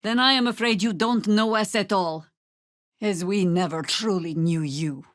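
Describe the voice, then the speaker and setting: A middle-aged woman playing a seasoned, wry druid warrior in a fantasy video game, speaking in a measured, dry, formal way. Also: medium pitch, dry recording, lightly acted. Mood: guarded, solemn. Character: seasoned, wry female druid warrior, weary but resolute.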